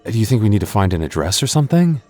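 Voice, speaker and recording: A man's voice: lowish voice, very animated, very fast, a little mellow, faint music behind. A man voicing a boyfriend in an ASMR roleplay, stammering through restarts and teasing playfully.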